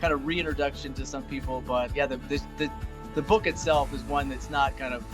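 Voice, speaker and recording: A man's voice: medium pitch, steady tone, a little fast, music in the background. A man hosting an interview, rambling enthusiastically with many fillers. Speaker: host. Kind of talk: casual interview chat.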